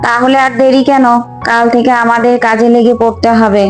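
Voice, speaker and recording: Woman playing elder daughter-in-law, high voice, assertive, complaining, crafty, cartoon dub, soft music behind. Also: level tone, a little fast, close to an everyday voice. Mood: indignant plotting. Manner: nagging, assertive. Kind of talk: fairy-tale cartoon dub. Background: background music.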